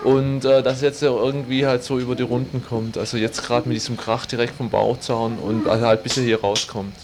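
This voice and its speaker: A man's voice: mid-range voice, flat delivery, a little fast, noisy background. A man working as a radio reporter, introducing reports and asking questions in a casual, informal way.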